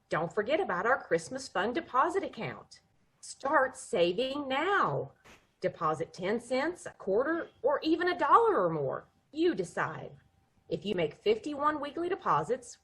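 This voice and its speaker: A woman's voice: medium pitch, husky. A woman doing a cheery old-time bank commercial. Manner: bright salesy ad read. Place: home over a video call.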